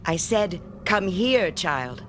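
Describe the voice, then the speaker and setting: An actress playing a prim, self-righteous, domineering schoolteacher in a radio drama, speaking precisely and with condescension. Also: female voice, lowish voice, sing-song, slow, noisy background. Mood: firm, coaxing. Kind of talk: vintage-style radio drama acting.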